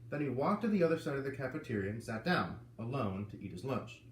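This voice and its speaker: Lively man reading a story aloud. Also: fast talker, recorded from a distance. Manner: lively, voices characters with raised pitch. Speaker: reader. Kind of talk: reading a children's novel aloud. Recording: echoey room with faint ambient noise.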